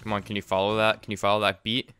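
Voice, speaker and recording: A young man streaming a game from his room, chatting excitedly and repeating himself. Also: on the low side, rapid-fire, smooth, soft background music. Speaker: gaming streamer. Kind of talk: casual gameplay chat. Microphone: desk mic, wearing headset.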